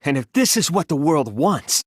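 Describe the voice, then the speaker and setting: A man's voice: a little high, very animated, normal speed, dry recording, studio quality. A young man voicing an earnest, wounded but defiant teenage boy detective in a dramatic video game dub, emotional and emphatic. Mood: grave resolve. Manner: emotional, emphatic, swinging from hushed to impassioned.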